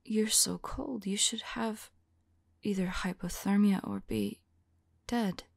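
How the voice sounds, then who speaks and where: A woman performs as a devoted female vampire in an ASMR audio drama. She speaks in slow, breathy whispers with a faint creak, tender and anxious, pausing as she muses to her beloved. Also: mid-range voice, sing-song, no echo, exaggerated voice. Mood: curious, puzzled. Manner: halting, soft, musing to a loved one.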